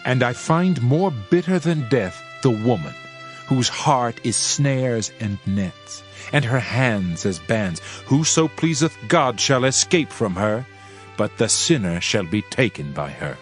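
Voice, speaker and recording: A man narrating a dramatized scripture reading in a solemn, measured way with archaic phrasing. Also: mid-range voice, very animated, soft background music.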